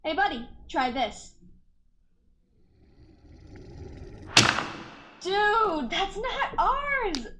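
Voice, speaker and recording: High-voiced worried woman scientist, played by an actress. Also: very animated, takes it slowly, very noisy, exaggerated voice. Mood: playful, urgent. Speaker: actor in a radio play. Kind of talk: amateur radio play acting.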